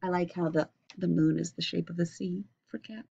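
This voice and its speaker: A middle-aged woman reading a children's picture book aloud with expressive, deliberate phrasing. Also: lowish voice, vocal fry, breathy edge. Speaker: storybook reader. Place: small indoor home room. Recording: dull lo-fi webcam recording.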